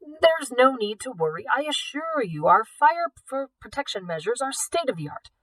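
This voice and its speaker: A woman's voice: high-pitched, very animated, normal speed, fairly bright tone, no echo, voice pushed up, full character voice, rough recording. A woman voicing a pompous male museum director from a storybook, formal and full of authority. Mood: smugly reassuring.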